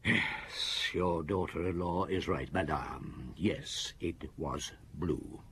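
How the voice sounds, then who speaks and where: An actor playing a weary, relentless middle-aged chief inspector in a radio detective drama, speaking formally and deliberately. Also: male voice, a bass voice, fairly bright tone, a little hiss or hum, full character voice. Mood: probing, accusing.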